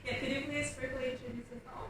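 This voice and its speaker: A woman hosting an interview in careful non-native English, a first-language Chinese speaker who pauses often on "uh". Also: high voice, little pitch movement. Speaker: interviewer and video host. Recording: very echoey room, mic far off, noisy background.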